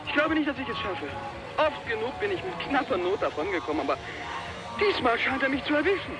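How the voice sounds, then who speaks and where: A man's voice: very high voice, big pitch swings, fairly quick, loud music behind the voice, rough recording. An actor playing a haunted, fearful man who believes the wind is hunting him, in a brooding, dramatic German radio play style. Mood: resigned dread.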